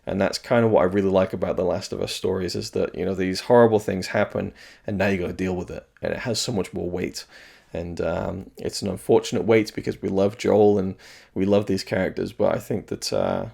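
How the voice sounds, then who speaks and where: Gravelly, slightly hoarse man musing. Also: deep voice, fairly quick, darkish tone. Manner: rambling, thoughtful, many restarts and fillers. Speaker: video game reviewer. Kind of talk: casual solo commentary.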